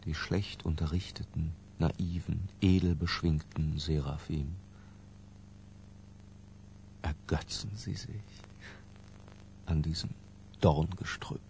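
An ornate, self-mocking murderer giving his confession in a literary radio play. The voice is very deep and creaky, and he moves very slowly through florid phrases with long pauses. A faint hum sits behind him. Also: fairly even intonation, exaggerated voice. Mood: ironic, ornate.

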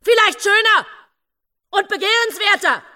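Resentful, self-pitying young woman in radio drama, plaintive, extremely high, piercingly bright. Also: lively intonation, unhurried, full character voice. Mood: jealous. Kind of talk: radio drama inner monologue.

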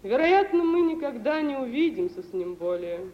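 An actress playing a proud, high-strung young woman in love, theatrical and emotional, in a 1950s Soviet radio drama. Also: very animated. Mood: resigned. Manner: theatrical, emotional, drawn-out delivery. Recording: dull, hissy old radio drama recording.

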